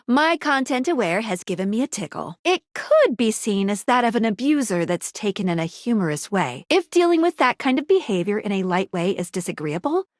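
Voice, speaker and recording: A woman's voice: mid-range voice, no room sound, studio quality, natural voice. A woman voicing a perky, quirky, teasing young female guide in a video game, with a bubbly, playful, exaggerated manner. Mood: playful, cautioning. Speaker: tutorial guide in a dating simulator game. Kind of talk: video game character voice lines.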